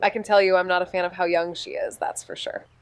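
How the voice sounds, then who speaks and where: A woman's voice: fairly low pitch, flat delivery, fast talker, clean tone, no room sound, lightly acted. Wry woman investigator played by an actress, dry recording. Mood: wry, casual. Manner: wry, blunt, clipped. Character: wry, determined amateur woman investigator narrating her case. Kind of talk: noir audio drama dialogue and narration.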